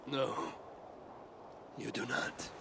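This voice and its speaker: A man playing a grim, obsessive Norse god and father in a video game cutscene, gravelly and stern, speaking in terse, weighty phrases. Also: very slow, background noise. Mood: somber.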